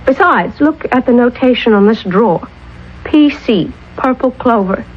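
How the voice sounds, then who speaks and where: A woman's voice: a little high, lively intonation, measured pace, slight background noise. An actress playing an earnest, headstrong woman scientist in a radio drama, urgent and emphatic. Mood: insistent reasoning. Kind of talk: 1970s radio drama acting. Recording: dull old radio broadcast recording.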